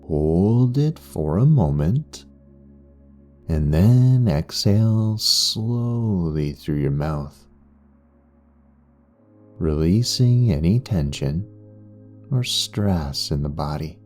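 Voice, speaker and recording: Man, guided meditation narration, low mellow voice, soothing delivery, music underneath. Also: animated. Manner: soothing, unhurried, with long pauses. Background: background music.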